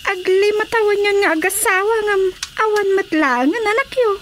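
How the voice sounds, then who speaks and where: Frank, high-pitched Ilocano friend. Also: female voice, normal speed, a bit bright. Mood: chiding. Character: frank, advice-giving woman friend of a childless wife. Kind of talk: radio drama comedy acting. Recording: clearly noisy radio drama recording.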